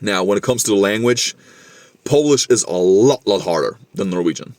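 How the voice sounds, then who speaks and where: A man's voice: fairly low pitch, animated, normal speed, a little mellow, no room sound. A young man with a slightly Scandinavian-tinged English accent, chatting casually to camera, repeating himself and calling the listener bro. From Norway. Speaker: vlogger.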